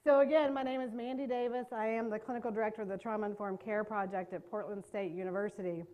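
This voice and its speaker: A woman's voice: medium pitch, steady tone, a little mellow, poor audio. A middle-aged woman from North Carolina with a Southern accent, giving a chatty, joking training talk to a live audience in a meeting room. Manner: fast-talking, chatty, self-deprecating jokes. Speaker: trauma care trainer.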